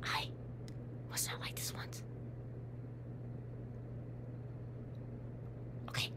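A woman's voice: moderate pace, close to an everyday voice. A young woman playing a haughty, jealous teen vampire girl in a comedic roleplay, blunt and sarcastic with whispered asides, recorded on a webcam in a dim bedroom. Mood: dramatic. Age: young adult. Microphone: webcam at close range. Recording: noisy home webcam audio.

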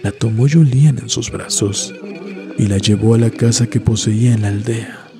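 Low male narrator doing a scary story, measured n solemn, music in the back. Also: steady tone, gravelly. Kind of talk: scripted horror story narration. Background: background music.

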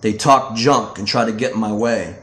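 A man's voice: on the low side, normal speed, a bit bright, reverberant. A man reading his own novel aloud as scripted audiobook narration.

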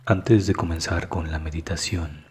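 A man narrating a scripted guided meditation in a calm, soothing manner that is hushed at times. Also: low voice, moderate pace, breathy edge.

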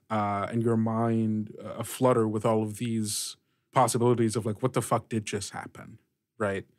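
Male game master, lowish voice, halting improvised narration spoken straight to the players. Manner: halting, addresses players in second person.

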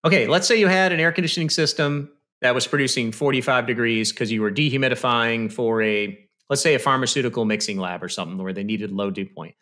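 Man, 30s, bright and forceful, casual instructor tone on a video-call studio mic. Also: mid-range voice, quick pace, hardly any pauses. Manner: conversational, explains step by step with frequent "you know" and "okay". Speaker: HVAC presenter. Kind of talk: technical presentation over slides. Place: small indoor room. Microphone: studio mic on stand with headphones, video call.

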